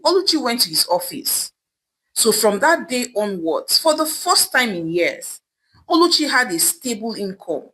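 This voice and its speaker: A woman's voice: high voice, fairly bright tone, rough recording. A woman storyteller narrating a scripted folktale in a dramatic, emphatic manner.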